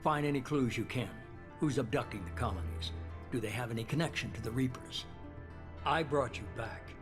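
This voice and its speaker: Casting: male actor as calculating game mastermind, measured and persuasive, mid-range voice, music underneath. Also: mid-range voice, natural voice. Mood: assured, commanding. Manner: measured, persuasive, self-assured. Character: smooth, calculating male leader of a secretive organization. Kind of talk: video game cutscene acting. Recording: music behind the voice.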